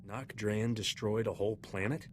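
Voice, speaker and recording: A man voice-acting a cocky, flirtatious smuggler captain in a video game, confident and smooth. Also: fairly low pitch, fairly even intonation, moderate pace, slight background noise, close to an everyday voice.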